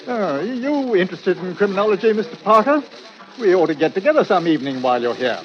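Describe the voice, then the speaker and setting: An actor playing a genial, complacent police inspector in an old radio drama, pompous, hearty and blustery. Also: male voice, high voice, sing-song, fast talker. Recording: dull, hissy old radio recording.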